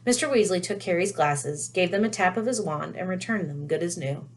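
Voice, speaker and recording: A woman reading a children's novel aloud in an expressive storytelling style. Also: fairly low pitch, brisk. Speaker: read-aloud reader.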